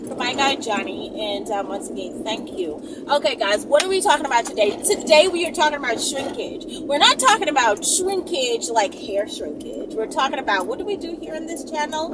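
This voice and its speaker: A woman's voice: high-pitched, moderate pace, few pauses. A woman hosting her own video channel, chatting casually and emphatically to her viewers and often checking in with them. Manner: animated, emphatic, repeatedly checking in with listeners. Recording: distant mic, loud ambient noise.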